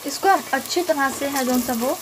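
A woman's voice: high-pitched, a little fast, heavy background noise. A woman hosting a cooking channel, explaining each step of a home recipe in a friendly, casual voice-over. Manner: friendly step-by-step explaining, mixing in English words. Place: home kitchen. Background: frying and stirring sounds.

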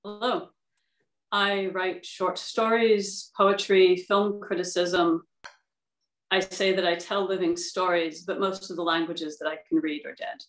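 A woman with a fairly low voice gives a prepared-sounding introduction. She is on a video call from a noticeably echoey room. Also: fairly even intonation, measured pace, a bit bright. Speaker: writer, reader.

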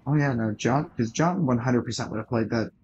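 A man's voice: medium pitch, a little fast, darkish tone, muffled recording, slight background noise. A young man on voice chat, joking casually with short, quippy remarks. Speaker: friend on voice chat.

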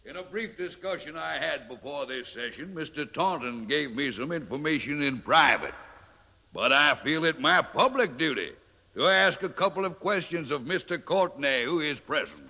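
An actor playing a pompous, sly older senator, orating in florid, grandiose phrases in a 1950s radio drama. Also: male voice, a little high, expressive, sharp tone, exaggerated voice. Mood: accusing. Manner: slow, florid, grandiose speechifying. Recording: long echo of a large hall.

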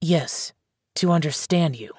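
Game role: aloof young male immortal, low-pitched and husky, terse and solemn. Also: a little slow, a little mellow, no room sound, clean studio sound. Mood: earnest, restrained. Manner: terse, reserved, solemn, formal phrasing. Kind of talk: English video game character dialogue.

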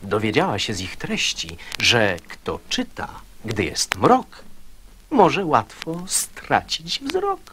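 Slow male verse storyteller, noisy recording. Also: medium pitch, wildly swooping pitch. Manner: deliberate, storytelling. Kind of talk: dramatic recital of children's verse.

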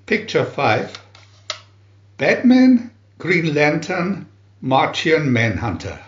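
A man reads aloud in a high voice with swooping, dramatic intonation. He announces each phrase deliberately, like a storybook narrator for children. The recording is dull and lo-fi. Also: sing-song. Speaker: booklet reader and channel host. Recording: roomy echo, dull lo-fi sound.

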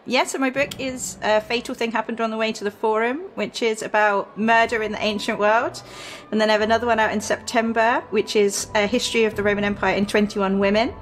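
A woman's voice: a little high, flat delivery, fairly quick. A woman, a historian guest, explaining things casually in an interview.

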